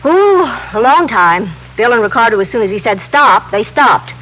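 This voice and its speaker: High-voiced, excitable candy store widow. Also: female voice, normal speed. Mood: animated, insistent. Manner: talkative, repeats herself, exclaiming; simple, slightly broken grammar. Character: chatty, excitable middle-aged widow running a candy store. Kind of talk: 1950s radio drama acting. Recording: noisy vintage radio broadcast recording.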